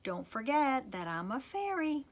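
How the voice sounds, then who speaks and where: A woman voicing a brisk, commanding fairy godmother in a children's storybook reading. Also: high-pitched, very animated, pitched above the performer's own voice, full character voice. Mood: brisk, instructing. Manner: commanding, emphatic. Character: bossy, brisk fairy godmother with magic wand.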